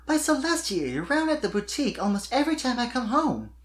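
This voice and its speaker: A performer voicing a kind, perceptive young female unicorn pony in a soft, earnest way for a cartoon fan story. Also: medium pitch, sing-song, a little fast, voice pushed up, natural voice. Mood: cheerful, reassuring.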